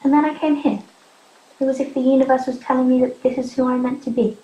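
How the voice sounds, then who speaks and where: A woman's voice: high voice, little pitch movement, quick pace, mellow, lightly acted. A young woman in a youth theatre play acting a defiant, hurt teenage runaway girl, earnest and insistent. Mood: bitter, hurt. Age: young adult. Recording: muffled, roomy amateur recording.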